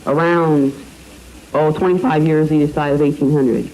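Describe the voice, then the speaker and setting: A young male with a fairly high, mellow voice takes guesses on a radio quiz. The sound is that of a vintage broadcast recording. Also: steady tone, normal speed, rough recording. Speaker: quiz show panelist.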